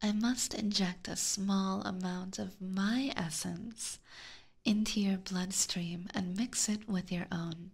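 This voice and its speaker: Woman playing a breathy, whispering possessive vampire catgirl. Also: mid-range voice, fairly even intonation, heavily acted. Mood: teasing, possessive. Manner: slow, formal, coaxing. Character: possessive, aristocratic vampire catgirl, teasing and seductive. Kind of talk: whispered ASMR roleplay. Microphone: close binaural microphone.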